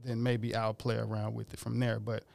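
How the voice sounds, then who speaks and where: A man's voice: on the low side, monotone, normal speed, vocal fry, a little mellow, a little hiss or hum. A man, a musician guest, talking casually and rambling in slangy speech about his music. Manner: laid-back, rambling, slangy. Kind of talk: casual interview talk.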